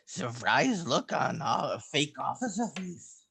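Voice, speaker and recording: A man's voice: on the high side, very animated, takes it slowly, creaky, sharp tone, husky. A man narrating comic panels as a joke, goofy and slurred, replacing first sounds with an r sound. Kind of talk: comedic dramatic reading.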